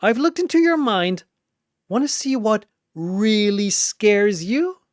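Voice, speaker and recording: A gleefully cruel cartoonish dream demon, pitched high and swooping wildly. It taunts and wisecracks in exclamations, mocking whoever it faces. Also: lively intonation, slow pace, no echo, clean studio sound. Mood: gleeful menace.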